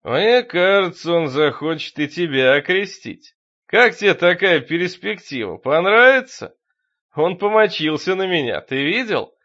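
A man voicing a crazed, menacing sexton, a fanatical zealot who taunts loudly with drawn-out words in a horror audiobook. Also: high-pitched, sing-song, muffled recording, pitched above the performer's own voice, heavily acted. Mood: fanatical, gloating.

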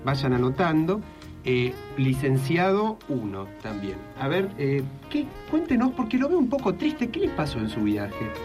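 A man's voice: on the high side, huge pitch swings, a little slow, muffled recording, over music. A man doing a gushing, swooping daytime TV hostess. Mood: gushing concern. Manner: chatty, exaggerated interest. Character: gushing, nosy hostess of a daytime women's TV show. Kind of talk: improvised radio sketch parody.

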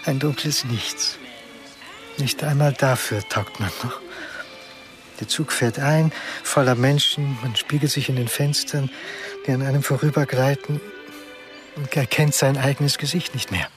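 A man's voice: mid-range voice, steady tone, close to an everyday voice. Man acting an ashamed middle-aged man, music behind. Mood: bleak, haunted. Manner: confessional, deliberate short phrases. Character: ashamed middle-aged man who secretly lost his job. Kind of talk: German radio drama acting, monologue.

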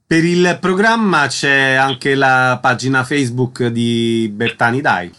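A man's voice: medium pitch, slow pace, bright, forceful tone. A man working as a radio interviewer, asking concise questions and making formal announcements.

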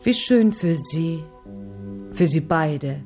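A woman playing a polite, probing niece in a radio play, speaking in a measured, courteous way. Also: low-pitched, lively intonation, loud music behind the voice, exaggerated voice.

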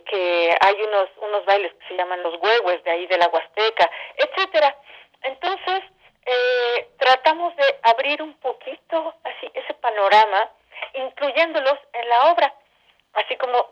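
A woman talking as a guest in a conversational interview, explaining things in a halting then flowing way. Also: mid-range voice, highs cut off. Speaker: interview guest, theatre director.

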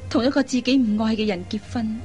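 A woman playing a kind, persuasive young elder sister in a Cantonese radio drama, coaxing and teasing in drawn-out phrases. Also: on the high side, fairly even intonation, a little mellow, faint music behind, natural voice. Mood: gentle, persuasive.

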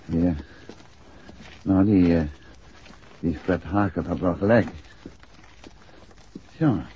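A man with a Netherlands Dutch accent playing a calm, affectionate gentleman detective and husband in a 1960s radio drama. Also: low-pitched, slow. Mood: easygoing. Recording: muffled, noisy 1960s radio recording.

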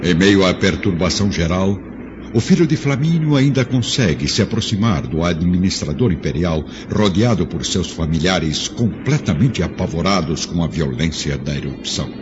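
A man's voice: low-pitched, animated, moderate pace, noisy background. A man giving dramatic, emphatic narration of a radio drama. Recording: dull lo-fi sound with music. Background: music.

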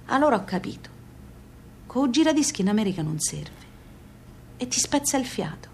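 A woman's voice: fairly high pitch, very animated, normal speed, darkish tone, slight background noise. A woman playing a plain-spoken, nostalgic emigrant mother in a radio drama, with a Neapolitan-tinged Southern accent and colloquial, repetitive phrasing. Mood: wistful, regretful. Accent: Neapolitan-influenced Southern Italian. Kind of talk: theatrical radio monologue, intimate storytelling.

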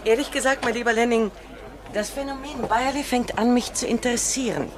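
An actress playing a calm, dry, inquisitive woman psychologist in a radio play. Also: fairly high pitch, brisk, close to an everyday voice. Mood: curious. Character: woman doctor, curious and cool-headed psychologist. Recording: loud crowd noise outdoors.

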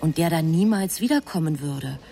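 An actress as a composed female storyteller, giving deliberate scripted narration in a literary radio play. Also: low-pitched, lively intonation, creaky, mellow, soft background music.

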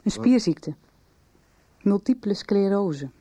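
An actress playing a female teacher in a naturalistic radio drama, confiding gravely with a hesitant, measured, understated delivery. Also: mid-range voice, expressive, mellow, exaggerated voice.

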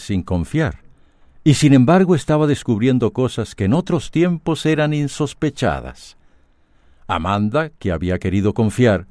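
A man's voice: medium pitch, warm tone, dry recording, clean studio sound, natural voice. A man with a Costa Rican accent playing the reflective storyteller of a family radio drama, reading scripted narration.

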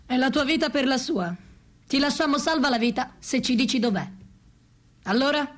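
An actress playing a stern, menacing interrogator in a radio drama, curt and commanding. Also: female voice, sits high, moderate pace. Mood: cold, threatening.

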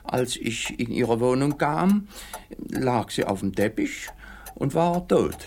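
An actor playing an odd, guileless middle-aged East German client in a comic radio play, hesitant, rambling and naively literal. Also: male voice, mid-range voice, big pitch swings, slow, darkish tone, heavily acted. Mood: naive, matter-of-fact.

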